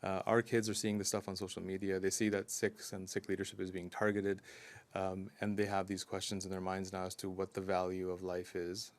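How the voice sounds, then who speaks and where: A man speaking as a community advocate at a news conference, earnest and steady, with frequent ums and uhs. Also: low voice, brisk. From Canada. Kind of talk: press conference statement.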